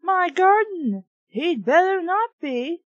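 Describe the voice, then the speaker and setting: A woman's voice: very high-pitched, big pitch swings, slow pace, no echo, lo-fi sound, voice pushed up, exaggerated voice. A woman voicing a pushy, demanding boy from a storybook, full of warnings. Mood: indignant.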